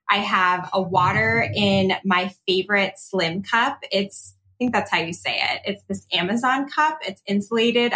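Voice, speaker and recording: A woman's voice: mid-range voice, fairly bright tone. Chatty American woman, upbeat podcast guest. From Arizona, United States. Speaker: podcast guest, lifestyle blogger. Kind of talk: casual conversational interview.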